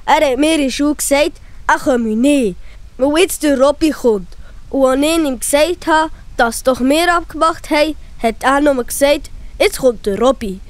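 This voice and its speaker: A woman's voice: high-pitched, normal speed, no room sound, lightly acted. A woman voicing a young schoolboy, honest and eager, with a Swiss German accent, in a children's audio play. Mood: sullen, hurt.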